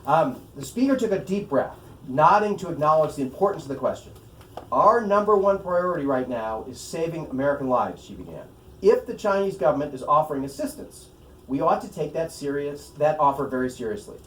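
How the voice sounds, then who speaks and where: Male author at a live reading: slightly high-pitched, wry and explanatory, picked up from a distance in a roomy space. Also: very animated, fairly quick, a bit bright. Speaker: author reading from own novel. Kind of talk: live book reading with asides. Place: room at a live bookstore event. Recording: roomy, distant mic, faint noise.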